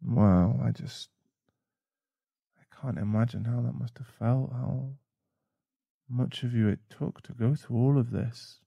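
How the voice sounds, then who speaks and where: A man playing a caring, protective boyfriend in an intimate ASMR roleplay, speaking softly and soothingly with halting pauses. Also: medium pitch, steady tone, gravelly, husky, no room sound. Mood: shaken, anxious. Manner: soft, soothing, halting, sighing. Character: caring, protective, shaken boyfriend comforting a partner. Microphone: close mic.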